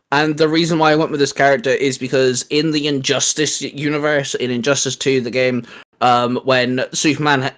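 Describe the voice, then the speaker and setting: A man's voice: medium pitch, fairly bright tone. A man co-hosting a casual fan discussion about comics, talking in a chatty, rambling, hesitant way. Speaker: co-host of a geek discussion channel.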